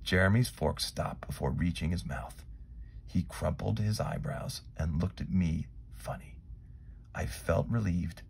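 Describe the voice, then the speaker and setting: A man reading a children's storybook aloud in a dramatic, expressive way, moving between hushed asides and emphatic phrases. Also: sits low, unhurried, creaky, slight background noise. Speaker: storybook reader.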